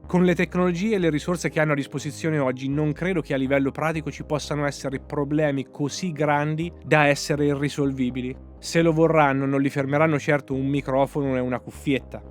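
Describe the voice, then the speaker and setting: A man with a slightly high voice narrates a scripted opinion piece as a motorsport commentator. He is emphatic and sarcastic, leaning on rhetorical questions, and music plays behind his voice. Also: steady tone, brisk, few pauses. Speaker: motorsport commentator, channel host.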